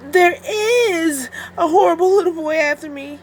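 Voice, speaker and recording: Woman voicing a mischievous young boy wolf, pitched high. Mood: alarmed yelling. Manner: loud drawn-out cries for help. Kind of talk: children's storybook read-aloud.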